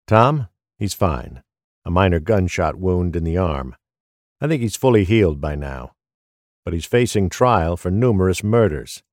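A man playing a grim, weary older police detective in an audio drama, measured and stern. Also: sits low, sing-song, dry recording, clean studio sound. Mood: matter-of-fact.

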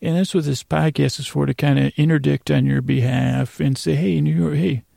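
A man hosting a podcast with a rambling bedtime monologue, meandering, halting and circling back on his own sentences. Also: fairly high pitch, steady tone, gravelly, warm tone, dry recording, clean studio sound. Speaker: podcast host.

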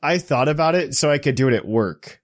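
A man's voice: mid-range voice, expressive, brisk, dry recording, clean studio sound. A man hosting a podcast in a casual, joking, self-deprecating chatty way. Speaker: podcast host. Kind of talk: casual chat.

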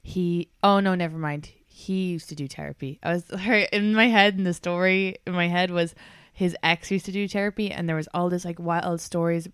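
A woman hosting a podcast, blunt and quick to interject in casual chat. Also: on the low side, steady tone, smooth, no echo, clean studio sound. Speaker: podcast host.

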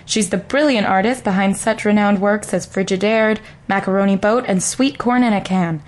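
A woman plays a straight-faced arts correspondent who asks questions in an earnest, composed way. Her delivery is steady and measured, in an ordinary mid-range voice. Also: mid-range voice, natural voice. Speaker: comic news correspondent. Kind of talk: deadpan parody news interview.

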